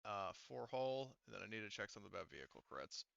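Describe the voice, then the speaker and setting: A man acting as game master for a tabletop session, with a bright, clear voice and a close, dry sound. He talks casually, working things out aloud and swearing here and there as he narrates. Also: lowish voice, big pitch swings, fast, no room sound, lo-fi sound.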